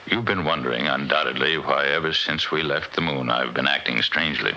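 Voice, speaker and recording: An actor playing an older, haunted, philosophical scientist in a 1960s radio drama, gravelly and portentous in delivery. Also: male voice, very deep voice, pushed and bright, exaggerated voice. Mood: grave, brooding. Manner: portentous, deliberate, dramatic storytelling. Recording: dull, noisy old radio recording.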